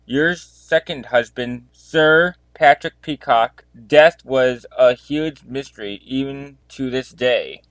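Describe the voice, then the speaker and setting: Man playing a slow, deliberate police detective. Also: mid-range voice, fairly bright tone, no room sound, rough recording. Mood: accusing. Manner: deliberate, declarative. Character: methodical police detective questioning murder suspects. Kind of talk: amateur audio drama acting.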